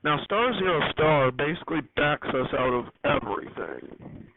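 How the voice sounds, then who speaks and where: A man's voice: fairly high pitch, very animated, normal speed, gravelly. A man giving an unscripted technical walkthrough as a hobbyist host, rambling and correcting himself as he explains. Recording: noisy, tinny phone-like audio.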